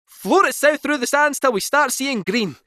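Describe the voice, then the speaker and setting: An actor playing a small, cheeky talking robot cat in a video game, with a Scottish accent and a jaunty, theatrical delivery. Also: male voice, extremely high pitch, animated, normal speed, sharp tone, dry recording, studio quality, heavily acted. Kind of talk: animated video game character dialogue.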